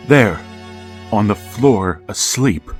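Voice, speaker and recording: A man's voice: on the low side, expressive, takes it slowly. An actor playing a bereaved father who narrates his horror and pleads in anguish in a radio drama. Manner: grave storytelling, breaking into anguish. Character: grieving older father narrating, haunted, tormented. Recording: radio play with faint violin music.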